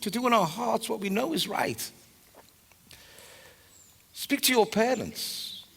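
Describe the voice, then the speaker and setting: A man playing an earnest, moralising older adviser with a gravelly voice and deliberate theatrical delivery. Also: high voice, animated, sharp tone, in a large hall, full character voice. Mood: solemn, urging. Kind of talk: theatrical stage acting.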